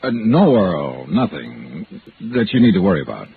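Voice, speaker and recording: An actor playing a shrewd, hard-boiled insurance investigator in a vintage radio noir, with wry, clipped, emphatic delivery. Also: male voice, sits low, big pitch swings, normal speed, creaky, very noisy, heavily acted. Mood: guarded. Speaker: lead detective and narrator. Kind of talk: 1950s radio noir narration and acting. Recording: tinny old radio recording, music behind.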